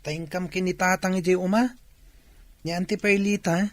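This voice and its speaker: A man's voice: sits high, steady tone, normal speed, dry recording, close to an everyday voice. A man playing an eager village neighbor bringing news in an Ilocano radio drama. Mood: hurried, newsy.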